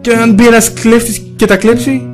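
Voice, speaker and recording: A woman's voice: a little high, little pitch movement, natural voice. A woman voicing a respectful, curious young novice monk in a cartoon, with deferential questions over guitar music. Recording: prominent guitar music behind.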